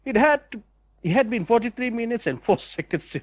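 A man's voice: very high voice, lively intonation, a little fast, no room sound. A young man reads a visual novel aloud as a let's play host, with dramatic expression and asides.

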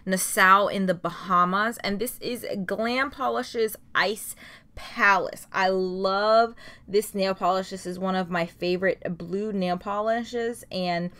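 A woman's voice: medium pitch, steady tone, slow pace, sharp tone. Thirties woman vlogger, enthusiastic and chatty, casual review read at home. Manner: chatty, enthusiastic, says "like" often. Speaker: beauty vlogger. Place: home filming room with shelves of nail polish, indoors. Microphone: camera at desk, close framing.

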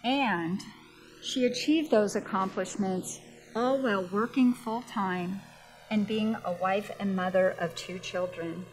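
A woman's voice: mid-range voice, slow pace, far from the mic, slight background noise, low-quality recording. A woman formally reading a speaker's introduction at an outdoor ceremony.

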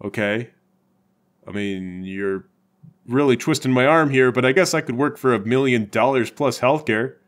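A man's voice: medium pitch, moderate pace, natural voice. A man playing a blunt, lazy, self-serving cop with brusque, casual delivery in improvised comedic roleplay.

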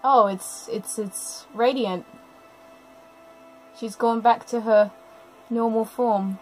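A woman's voice: on the high side, fairly even intonation, slow, a little mellow, rough recording. A woman giving casual live reaction commentary on a cartoon, gushing and sentimental, with frequent small exclamations. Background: music from the cartoon being watched.

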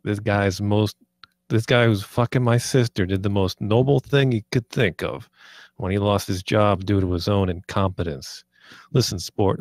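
A man's voice: fairly low pitch, a little mellow, no echo, natural voice. A man reading the part of a cocky, menacing, sarcastic young drug dealer in a screenplay table read. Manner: sarcastic, foul-mouthed, taunting.

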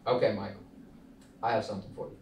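A man's voice: fairly low pitch, level tone, fast, a little mellow, close to an everyday voice. A young man reading the part of a brash startup founder at a film table read, insistent and persuasive like a salesman. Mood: cocky. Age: young adult. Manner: insistent, preachy salesman delivery. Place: small meeting room, indoors. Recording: roomy, distant mic, faint noise.

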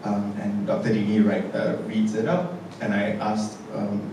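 A man's voice: on the low side, completely flat delivery, normal speed. A man, a theatre director speaking hesitantly and reflectively on a panel after a show, with many fillers and restarts. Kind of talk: post-show panel discussion. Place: large theatre stage. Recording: echoey theatre from a distance with noise.

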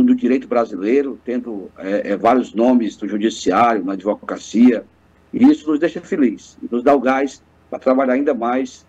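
Earnest lawyer on a muffled video call. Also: male voice, mid-range voice, darkish tone, slightly rough. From Piauí, Brazil. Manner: earnest, speechlike, frequent hesitant restarts. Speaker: lawyer and guest. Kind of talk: formal interview by video call. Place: office with bookshelves, indoors. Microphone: webcam on video call.

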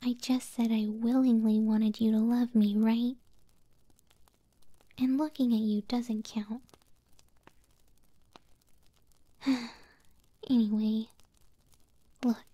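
A woman voicing a possessive, doting young moth-girl girlfriend in an ASMR roleplay, speaking softly, breathily and tenderly, close to the microphone. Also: on the high side, steady tone, dry recording. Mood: mildly indignant. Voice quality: soft, breathy, whispery. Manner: slow, tender, teasing. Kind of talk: ASMR audio roleplay, intimate soft speech.

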